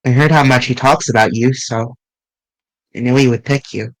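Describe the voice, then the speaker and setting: A man streaming, reading visual novel dialogue aloud in an earnest, halting way with small restarts. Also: medium pitch, fairly even intonation, no echo, low-quality recording.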